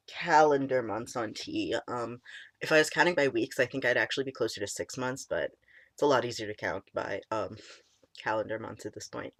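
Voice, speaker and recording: A young man in casual chat, his voice cracking and squeaky, deep and stuffy from a cold, rambling with many ums and likes. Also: a bit bright, dry recording. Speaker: vlogger. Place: small room indoors.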